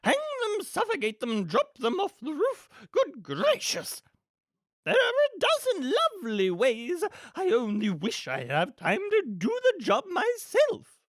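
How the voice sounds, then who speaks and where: A woman's voice: high-pitched, wildly swooping pitch, unhurried, no echo, studio quality, pitched above the performer's own voice, full character voice. A woman voicing an imperious, gleefully murderous rich villainess from a storybook, with a haughty, theatrical, commanding manner. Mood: exasperated, bloodthirsty.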